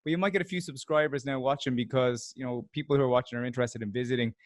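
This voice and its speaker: A man hosting an interview, chatty and curious, asking relaxed questions. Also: mid-range voice, racing. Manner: chatty, curious, drops in learned phrases. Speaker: host. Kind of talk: casual interview chat.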